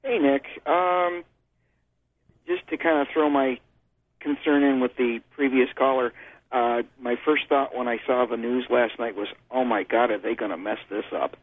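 A man with a Western New York accent calls in to a radio show, friendly and rambling, with many fillers. His voice sits in an ordinary middle pitch. The sound is thin and tinny, as if heard through a phone. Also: steady tone, normal speed, no echo. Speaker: caller. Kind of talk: casual call-in chat.

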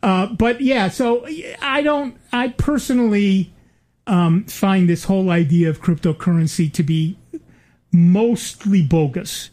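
An older man co-hosting a relaxed conversation. He wanders through his points with plenty of ums and uhs and restarts. He drops in dry, wry asides along the way. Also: sits high, measured pace. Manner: rambling, many fillers and restarts, wry asides. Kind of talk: casual chat.

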